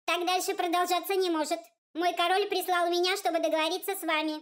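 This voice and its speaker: Woman putting on a squeaky, pompous tiny bearded envoy. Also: little pitch movement. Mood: officious, indignant. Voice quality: piercing, squeaky. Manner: formal, self-important, rapid patter. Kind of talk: storybook character voice.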